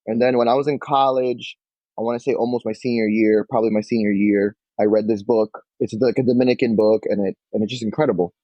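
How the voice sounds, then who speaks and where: A young man, chatting casually as an interview guest, relaxed and full of "like". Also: fairly low pitch, fast talker, no room sound. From Queens, New York. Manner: relaxed, peppered with "like", acts out quotes. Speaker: bookshop owner, guest. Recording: dull lo-fi dry sound.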